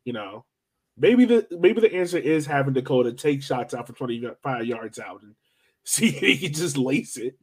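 A man with a mid-pitched voice hosts a sports podcast. He talks in a casual, slangy, conversational way, working through his thoughts as he goes. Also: expressive, normal speed, husky. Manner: conversational, slangy, thinking aloud. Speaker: soccer podcast host.

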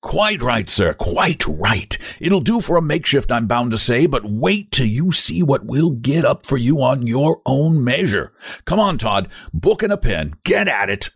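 A man voicing an excitable male tailor-shop owner who fawns over a customer in a rush of flattery. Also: medium pitch, gravelly, husky, no echo, clean studio sound, full character voice. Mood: fawning. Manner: gushing, rapid, breathless flattery.

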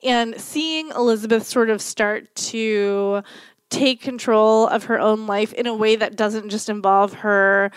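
A woman co-hosting a podcast, chatting casually about a book in a rambling way with lots of fillers, a little croaky from a cold. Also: mid-range voice, fairly even intonation, unhurried, dry recording. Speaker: podcast co-host. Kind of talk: casual book-review chat.

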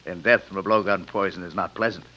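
An actor playing a sinister, courteous rich villain in old radio drama, speaking quietly and formally without hurry. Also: male voice, deep voice, fairly even intonation, fast. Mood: gloating threat. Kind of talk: 1940s-50s radio drama acting. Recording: dull old radio recording.

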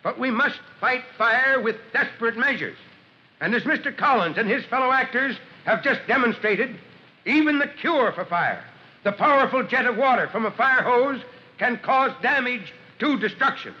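An actor playing a gruff but kindly middle-aged fire chief in a 1940s radio drama, speaking emphatically. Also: male voice, very high-pitched, lively intonation, normal speed, pushed and bright, full character voice. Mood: oratorical, urgent. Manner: emphatic, oratorical. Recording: noisy, dull vintage radio recording.

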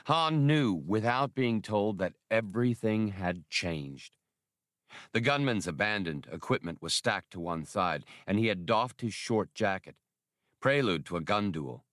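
A man's voice: fairly low pitch, lively intonation, unhurried, a bit bright, no echo, clean studio sound. A man narrating adventure fiction as an audiobook in a dramatic, scripted reading style.

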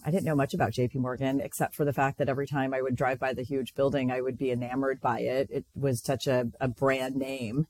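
A woman's voice: extremely low pitch, a little mellow. A middle-aged woman from Connecticut speaks as a guest on a podcast. She tells her story in a friendly, earnest way, with plenty of ums and false starts. The sound is dry, with no room echo. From Connecticut, USA. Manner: friendly, earnest, with frequent fillers and restarts. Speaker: financial firm president, podcast guest. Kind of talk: casual interview storytelling.

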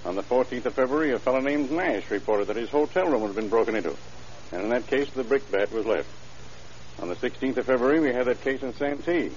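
An actor playing a matter-of-fact male police detective in an old radio drama, laying out facts in a dry, deliberate way. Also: medium pitch, lively intonation, a little mellow, lightly acted. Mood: businesslike. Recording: muffled, hissy old radio recording.

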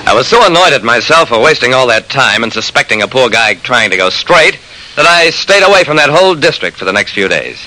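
A man's voice: medium pitch, sing-song, fairly quick, bright, forceful tone. An actor playing a shrewd, persistent private investigator narrating his case in an old-time radio drama, with clipped, hard-boiled delivery. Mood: keen, intrigued. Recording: noisy vintage radio recording.

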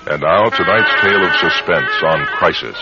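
A man narrating a suspense radio drama in a deliberate, dramatic storytelling manner. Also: sits low, lively intonation. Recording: muffled old radio broadcast. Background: loud dramatic music.

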